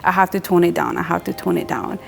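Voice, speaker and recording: A young adult woman from Mexico, a tattoo artist, talking casually in a relaxed, rambling way with lots of filler words. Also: lowish voice, fast, gravelly, slight background noise. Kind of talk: casual interview monologue.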